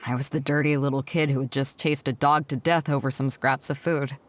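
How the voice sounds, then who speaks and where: An actress playing a confident, playful yet reflective woman sea captain in video game dialogue, with a self-assured, measured way of speaking. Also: a bass voice, fairly even intonation, a little hiss or hum. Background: music.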